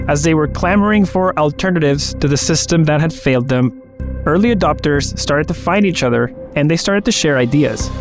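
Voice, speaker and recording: Higher-pitched male host, measured narration, music clearly under him. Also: lively intonation. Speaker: interviewer and narrator. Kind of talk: scripted documentary narration and interview questions.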